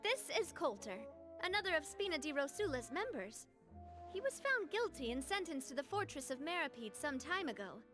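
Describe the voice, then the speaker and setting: A woman's voice: high voice, big pitch swings, moderate pace, music in the background. A young woman voicing a friendly, assured gang leader in a video game, speaking earnestly.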